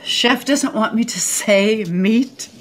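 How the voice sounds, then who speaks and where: A woman's voice: a little high, takes it slowly. An actress playing an older woman dining alone in a comic radio play, prickly and curt, with dry sarcasm. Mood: irritated, incredulous. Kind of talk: radio play comedy acting.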